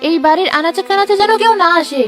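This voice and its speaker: A woman's voice: extremely high pitch, fast talker, soft background music. A woman playing a bossy, nagging, hard-hearted village wife in a cartoon, scolding as she talks. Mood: nagging, irritated. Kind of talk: Bengali cartoon voice acting.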